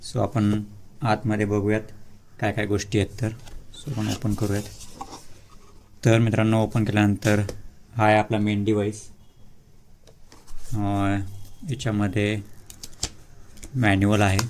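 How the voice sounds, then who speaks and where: A man doing a casual unboxing walkthrough as a vlogger, hesitant, with many fillers and pauses, mixing English words into his speech. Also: fairly low pitch, flat delivery, a little mellow, a little hiss or hum.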